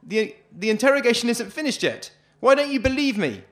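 A man reading a story aloud, voicing a desperate blindfolded male prisoner who shouts frantic pleas. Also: very high-pitched, sing-song, fairly quick, voice pushed up.

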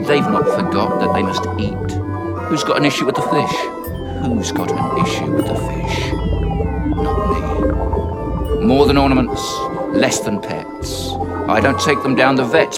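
Slow-paced man performing whimsical poetry over music. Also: mid-range voice. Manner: playful, rhythmic, rhyming delivery. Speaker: poet and comedian. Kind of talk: performed comic spoken-word poetry. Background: plucked guitar music.

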